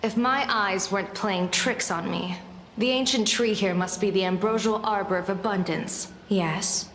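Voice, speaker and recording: A woman's voice: medium pitch, normal speed, a bit bright, a little hiss or hum, natural voice. An actress playing an inquisitive, self-assured female seer in a video game, articulate, formal and probing. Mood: probing, assertive. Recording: echoey hall with music behind.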